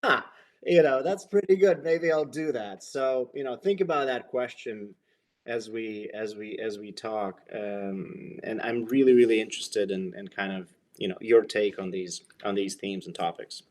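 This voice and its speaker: Casting: podcast host, man, lowish voice, musing and meandering. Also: normal speed, darkish tone. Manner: rambling, thoughtful, many fillers. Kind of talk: casual interview intro.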